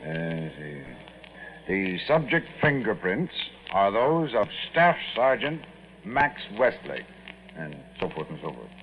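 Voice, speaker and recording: An actor playing a gruff, exasperated army colonel, curt and sardonic, in 1950s radio drama style. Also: male voice, sits low, sing-song, slow, heavily acted. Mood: stern, brisk. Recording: tinny, noisy 1950s radio recording.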